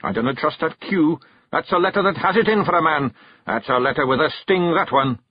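Casual: guy doing a stubborn little scottish fairy chief, pitched way up, growly, kinda husky, flat dull sound. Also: a little fast, dry recording. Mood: grumbling. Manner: growling, blustering. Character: tiny stubborn fairy clan chief, gruff and proud.

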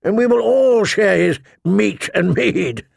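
A man's voice: sits high, lively intonation, takes it slowly, creaky, no echo, clean studio sound, voice pushed up, full character voice. A man voicing a defiant, insistent knight among a lord's bannermen in a fantasy audiobook.

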